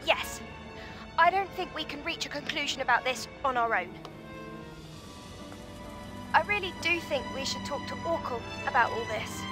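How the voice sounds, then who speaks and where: A woman's voice: high voice, lively intonation, moderate pace, pauses often, music in the background. An actress playing an earnest, emotional young female android warrior in a video game. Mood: troubled. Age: young adult. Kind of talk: video game cutscene acting.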